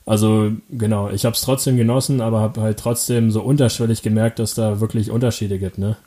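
A young man from Germany answering interview questions casually, halting often with fillers, restarts and quick laughs. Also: lowish voice, fairly even intonation, mellow.

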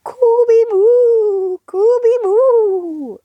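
A woman voicing a small, harmless storybook ghost that repeats one drawn-out, hooting spooky call. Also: no room sound, phone-quality sound, full character voice. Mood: eerie wailing. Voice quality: drawn-out, high, hooting moan.

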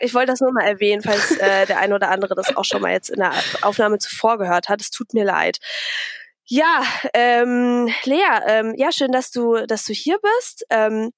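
A woman's voice: on the high side, no echo. A woman hosting a casual podcast interview, a little nasal from a cold, chatty and enthusiastic with many fillers. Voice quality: slightly nasal. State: has a cold, a bit nasal. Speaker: podcast host.

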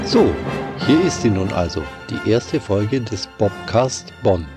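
A man's voice: lowish voice, animated, slow, music in the background. A middle-aged man with a Bavarian accent, hosting a podcast with a scripted intro and then casual chat, friendly with some restarts. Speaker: podcast host, orchestra violist.

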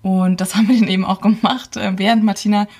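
A woman's voice: medium pitch, fairly even intonation, quick pace, darkish tone, husky. A woman around forty, a podcast guest telling a personal story casually and thinking aloud as she goes. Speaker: podcast guest, host's partner.

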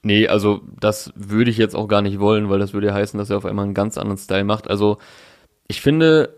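Young guy w a low voice, dry mic, chatty music talk, lots of ums. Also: steady tone, fast, dry recording. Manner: thoughtful, many fillers and restarts. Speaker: podcast co-host discussing music. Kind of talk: casual chat.

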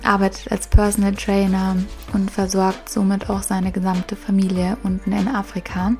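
A woman's voice: mid-range voice, monotone, moderate pace, a little mellow, soft background music. Easygoing woman hosting a casual interview. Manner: laughs readily. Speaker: podcast host interviewing a guest. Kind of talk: casual, friendly interview chat.

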